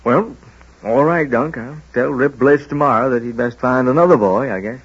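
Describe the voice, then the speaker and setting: A man playing a kindly, gently moral small-town family doctor in old-time radio drama, speaking in a folksy, hesitant, musing way. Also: mid-range voice, normal speed, husky, lightly acted. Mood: concerned, resigned. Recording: dull old radio broadcast recording.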